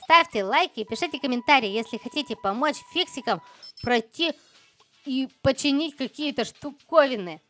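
A woman's voice: high-pitched, lively intonation, moderate pace, sharp tone. A woman hosting a children's gaming channel, giving casual, chirpy commentary with playful exclamations and cute diminutives as she talks to young viewers.

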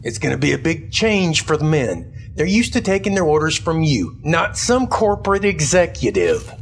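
An actor playing a gruff, loyal military sergeant in an audio drama, deliberate and deferential, with a gravelly voice. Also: male voice, a little high, sing-song, a little hiss or hum. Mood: concerned.

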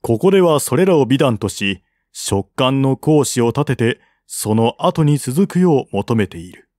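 Male narrator for historical audiobook: formal, measured, medium pitch, dry recording. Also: sing-song, darkish tone, no echo. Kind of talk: scripted literary narration.

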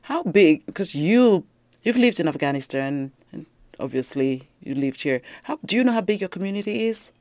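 A middle-aged woman hosting an interview in a radio studio, speaking on a boom mic and encouraging her guest with frequent affirmations. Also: animated, normal speed, darkish tone, dry recording. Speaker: interview host. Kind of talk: casual interview talk.

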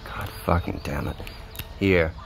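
A man's voice: low voice, unhurried, creaky. A man with an American accent playing a brash, foul-mouthed, hot-headed teenage boy in an audio drama. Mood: mocking. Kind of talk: naturalistic audio drama dialogue. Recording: noisy outdoor ambience behind.

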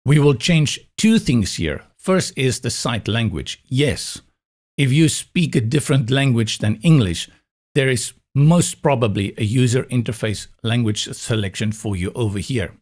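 A man's voice: medium pitch, expressive, unhurried, darkish tone. A South African man whose first language is Afrikaans, explaining a website setup step by step in a patient screen-recorded tutorial. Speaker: web design instructor.

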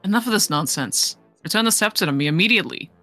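Woman voicing a bright, determined young cartoon hero. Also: mid-range voice, animated, quick pace, soft background music. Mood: stern. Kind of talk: amateur game fandub.

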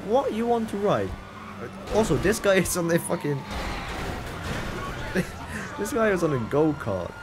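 A man's voice: high-pitched, very animated, unhurried, long pauses, background noise. A young man streaming a game live, reading chat aloud and answering it with incredulous, laughing banter.

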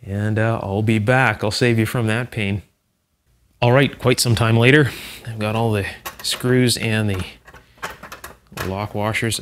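A man hosting a hands-on electronics teardown, explaining things in an unhurried, methodical, conversational way. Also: mid-range voice. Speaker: host, electronics restorer and teacher. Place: indoor electronics workshop, at the bench.

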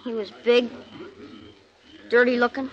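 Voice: mid-range voice, flat delivery, a little slow, muffled recording, close to an everyday voice. A performer playing a plucky, earnest frontier child in a 1950s radio western.

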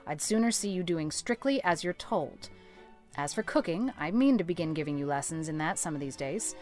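A stern older storybook spinster, played by a woman, with a lowish voice. She is brusque, practical and quick to scold, with faint music beneath. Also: lively intonation, a little mellow. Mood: brusque, firm. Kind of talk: audiobook character acting.

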